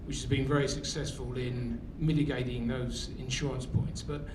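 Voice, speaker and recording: A British man explains his trade from the floor in a measured, technical way. His voice is medium in pitch, with roomy reverberation and a clear noisy rumble. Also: flat delivery. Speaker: audience member, tree care professional. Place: conference room. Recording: echoey room, rumbling noise.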